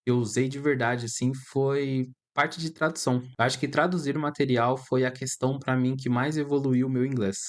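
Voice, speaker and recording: A man's voice: medium pitch, fairly even intonation, fairly quick, few pauses. A man in his thirties with a Brazilian Portuguese accent, an English teacher chatting casually to camera and dropping in little tag questions. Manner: chatty, peppers speech with "cara" and "tá?". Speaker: English teacher and channel host.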